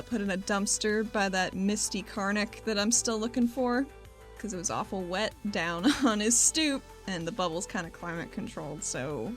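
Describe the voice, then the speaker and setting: A woman's voice: mid-range voice, lightly acted. A woman playing a weary, sardonic young businesswoman investigator, talking casually and a bit hesitantly.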